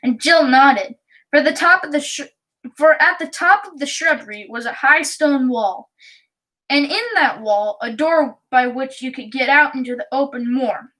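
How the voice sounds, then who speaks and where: A woman reading a children's storybook aloud in a careful, halting way. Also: high voice, bright, forceful tone, poor audio. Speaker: audiobook reader.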